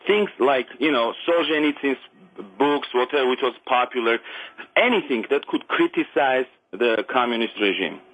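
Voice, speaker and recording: A middle-aged man from Armenia whose first language is Armenian, speaking English with an Armenian accent. He calls in to a radio show and talks earnestly, haltingly, with many restarts and non-native grammar. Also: medium pitch, lively intonation, highs cut off. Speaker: caller. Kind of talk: call-in radio conversation.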